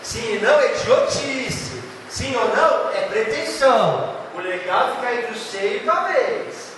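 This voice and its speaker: A man's voice: extremely high pitch, measured pace. Brazilian man with a paulista accent teaching literature, theatrical, long reverb and background noise. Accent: São Paulo state Brazilian Portuguese. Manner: lively, theatrical, addresses students directly. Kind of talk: animated exam-prep lecture. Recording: echoey hall, far from mic, noisy.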